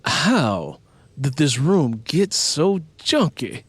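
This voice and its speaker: A man playing a stern, exasperated father in an audiobook, booming and demanding. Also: big pitch swings, extremely slow, slightly rough, dry recording, full character voice. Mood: stern, annoyed. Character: stern, exasperated father scolding his teenage daughter.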